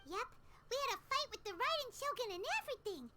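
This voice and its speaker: A woman's voice: very high voice, expressive, fast talker, over music. A woman voicing a tiny, chirpy, easily indignant childlike flying girl companion in a video game. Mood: boastful.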